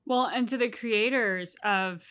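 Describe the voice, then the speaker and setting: Bright-voiced woman in casual chat. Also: a little high, unhurried, clean tone. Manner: animated, rambling with many restarts. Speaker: co-host of a game reaction channel.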